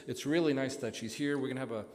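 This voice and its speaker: A man hosting a live radio show on a theater stage, introducing and interviewing a guest in a halting way with drawn-out pauses. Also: mid-range voice, in a large hall. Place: theater stage before an audience.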